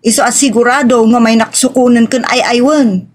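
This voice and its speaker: A woman's voice: fairly high pitch, fairly even intonation, normal speed, close to an everyday voice. A stubborn young wife acted by a woman speaks. She sounds aggrieved and insistent, in the manner of a family radio drama. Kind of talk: Ilocano family radio-style drama acting.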